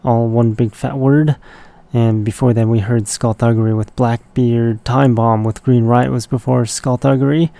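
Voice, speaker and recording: A man hosting a punk rock radio show in casual, laid-back, rambling talk, his voice raspy and congested from a cold. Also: mid-range voice, fairly even intonation, normal speed, dark tone, no room sound.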